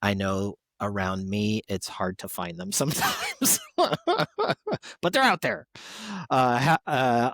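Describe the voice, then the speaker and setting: A man co-hosting a podcast, chatting casually and adding appreciative asides as he reads out listener shout-outs. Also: mid-range voice, no room sound. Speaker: podcast co-host.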